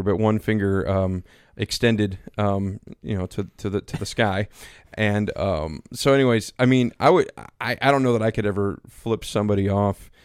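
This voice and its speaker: A man in his thirties with a very deep, loud voice that carries, speaking casually as a pastor on a podcast. He rambles, full of fillers and restarts. The sound is dry and close. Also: clean tone, no room sound. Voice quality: loud, carrying voice. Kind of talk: casual conversation. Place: small home studio.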